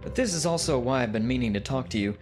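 A man acting in a comedy audio drama as a pompous, exasperated boss of a death pit, with deadpan corporate patter. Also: mid-range voice, quick pace, soft background music, close to an everyday voice.

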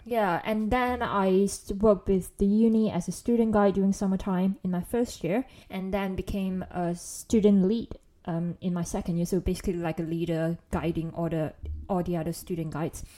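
A woman's voice: mid-range voice, steady tone, moderate pace, hardly any pauses, dark tone. A woman, co-hosting a casual podcast chat, talking in a chatty way with many fillers and small laughs. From Malaysia. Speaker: podcast co-host.

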